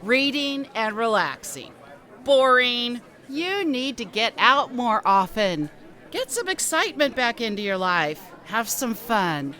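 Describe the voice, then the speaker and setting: Woman playing a bossy, bright-voiced best friend. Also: a little high, big pitch swings, takes it slowly, heavily acted. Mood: nagging. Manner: blunt, nagging, dramatic. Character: bossy, outspoken talk-host best friend. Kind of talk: radio drama acting.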